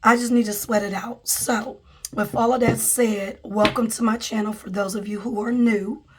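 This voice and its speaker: A woman's voice: fairly high pitch, steady tone, moderate pace, background noise. A woman with a cold who is losing her voice, chatting casually to viewers as a budgeting vlogger at a table. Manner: chatty, addresses viewers as guys and y'all. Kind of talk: casual vlog chat. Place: indoors at a table, camera overhead.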